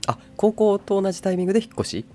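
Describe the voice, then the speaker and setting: A man's voice: high-pitched, sing-song, rapid-fire, warm tone, soft background music. A man co-hosting a casual podcast, chatting in a laid-back, lightly teasing manner. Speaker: podcast co-host. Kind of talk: casual chat.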